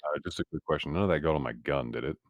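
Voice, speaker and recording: A man with an extremely deep voice speaks at a fast clip, in a dry, close sound. He is a player in a roleplaying game, asking and remarking in character. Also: dry recording.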